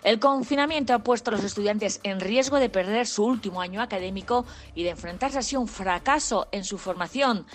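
A woman's voice: medium pitch, fairly quick, soft background music. A woman reading scripted news on the radio. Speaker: news reader.

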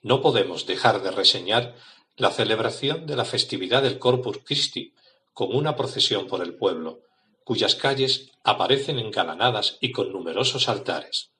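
Low, bright-toned man reading a script. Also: moderate pace. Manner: reads from a script in an even, informative way. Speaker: radio contributor. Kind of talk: scripted local-history narration.

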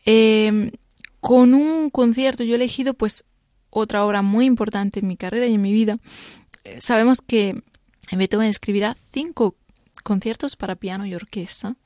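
A woman's voice: on the high side, normal speed, darkish tone, no echo. A Spanish woman pianist with a faint Italian lilt, chatting thoughtfully as a guest in a radio studio and sprinkling her answers with fillers. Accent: slight Italian lilt on Spanish. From Spain. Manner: thoughtful, explaining with many fillers and "¿no?". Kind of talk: radio interview chat.

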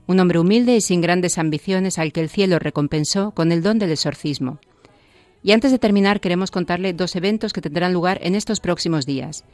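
A woman hosting a religious radio program, reading announcements and a closing in a calm, measured, devotional way. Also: lowish voice, dry recording. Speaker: radio host.

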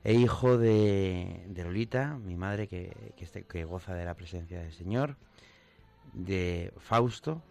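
Madrid guy being interviewed on radio, castilian accent, deep voice, kinda halting, repeats himself. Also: creaky, a little mellow. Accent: Madrid Castilian Spanish. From Madrid, Spain. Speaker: interview guest. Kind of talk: casual conversational interview. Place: radio studio. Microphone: studio microphone.

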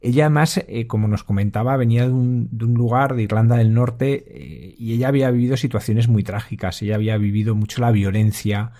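Mellow-voiced male interviewer. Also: mid-range voice, fairly quick, hardly any pauses.

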